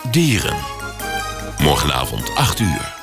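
A man's voice: a bass voice, wildly swooping pitch, takes it slowly, heavily acted. An actor playing a showy male station announcer reading jingles and trailers in a radio spoof. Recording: music bed behind.

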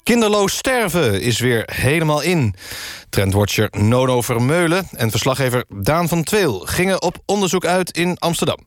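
A man playing a serious radio newsreader, delivering a scripted satirical bulletin in deadpan fashion. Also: on the high side, normal speed, no room sound, soft background music. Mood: deadpan, solemn. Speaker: news presenter.

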